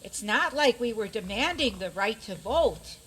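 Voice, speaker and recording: A woman acts out a practical, plainspoken princess for radio theater. The voice is high and lively in pitch, yet matter-of-fact, over faint background noise. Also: a little slow. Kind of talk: community radio theater acting.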